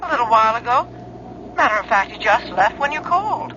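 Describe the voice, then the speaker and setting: An actress playing a calm, puzzled middle-aged mother of a grown daughter in a 1970s radio drama. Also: a little high, fairly quick. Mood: casual, mildly concerned. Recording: dull, faintly noisy old radio recording.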